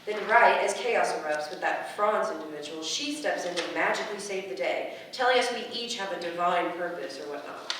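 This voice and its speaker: A woman's voice: lowish voice, lively intonation, brisk, hardly any pauses, close to an everyday voice. A woman playing an earnest, skeptical young being who questions things in a formal, challenging way, in a staged play reading. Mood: suspicious. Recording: echoey room, distant mic, background noise.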